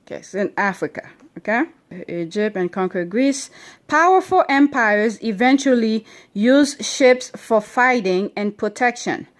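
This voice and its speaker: A woman with an accent reading a history book aloud to her children at home, stretching key words and stopping often with "okay?" to check they understand. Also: on the high side. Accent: non-standard English accent.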